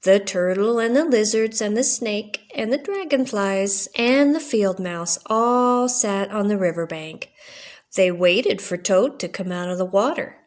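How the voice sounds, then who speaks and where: A woman's voice: mid-range voice, a little slow. An older woman narrating a children's storybook as a storyteller.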